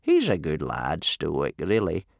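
A man voicing a wheezy, ancient Viking grandfather who speaks in gloomy, hushed tones. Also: rumbling low, wildly swooping pitch, takes it slowly, creaky, no echo, clean studio sound, pitched below the performer's own voice, full character voice. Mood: fond.

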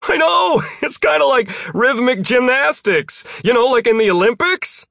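Audiobook fantasy role: male reader as young wizard, high, loud, excitable, slangy and incredulous. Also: a little fast, no room sound, clean studio sound, heavily acted. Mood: excited, delighted.

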